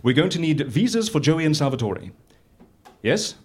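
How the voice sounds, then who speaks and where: An eccentric British boss rattles off instructions with a very animated, swooping voice. He is brisk, fussy and whimsical, in the manner of live audio comedy. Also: on the high side, slightly rough. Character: eccentric, busy male boss dictating instructions.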